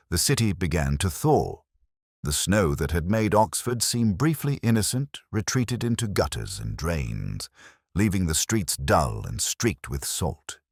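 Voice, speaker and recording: A man narrating a first-person detective story in a measured, solemn, literary manner. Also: deep voice, dry recording, studio quality.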